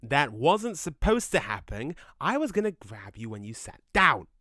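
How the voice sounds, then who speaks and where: Male actor as cheeky ghost, audio drama, excitable, chatty, swooping lively pitch, dry close recording. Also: normal speed, no echo. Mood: curious, cheeky. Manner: animated, exclamatory, chatty.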